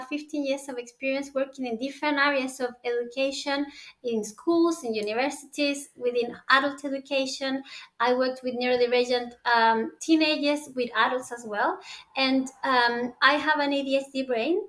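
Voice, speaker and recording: High-voiced Chilean woman presenting a course. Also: fairly even intonation, fairly bright tone. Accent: Chilean Spanish. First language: Spanish. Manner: halting, non-native English phrasing. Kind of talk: course introduction to camera.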